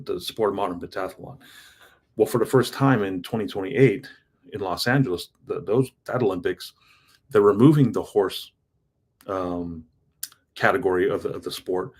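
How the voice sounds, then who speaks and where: A low, husky male voice in his thirties, talking straight to the listener in a loose motivational monologue. He is earnest and rambling, and he often trips over his words and restarts. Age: 30s. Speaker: self-improvement vlogger.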